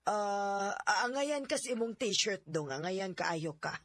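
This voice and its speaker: A woman's voice: mid-range voice, lively intonation, takes it slowly, fairly bright tone, no room sound. An actress playing a middle-aged mother who teases and encourages her son, with a Bisaya accent, in a Filipino radio drama. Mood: teasing, evasive.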